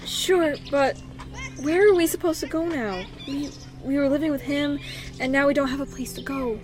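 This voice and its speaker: A woman's voice: sits high, moderate pace, darkish tone, soft background music, lightly acted. A woman playing a distressed, timid young mother in an audio drama. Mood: worried.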